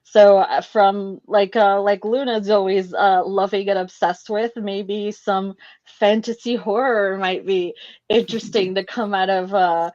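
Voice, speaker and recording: Female podcast co-host, mid-pitched, casual and filler-heavy, muffled lo-fi audio. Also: steady tone, unhurried, a little mellow. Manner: chatty, lots of fillers like "um" and "like". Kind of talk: casual film discussion. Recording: dull lo-fi sound.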